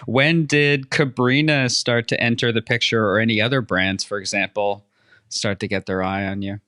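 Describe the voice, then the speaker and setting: A man's voice: fairly low pitch, steady tone, moderate pace, pushed and bright, dry recording, studio quality. A man hosting a podcast, chatting casually and encouragingly with his guest in an upbeat interview. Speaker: podcast host.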